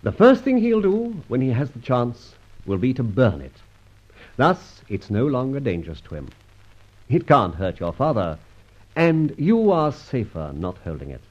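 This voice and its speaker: Radio serial: suave, mock-courteous masked rogue, hugely animated delivery, slightly husky, pompous and teasing. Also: male voice, mid-range voice, measured pace. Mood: smug, explaining. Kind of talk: old radio serial acting. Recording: dull old radio recording.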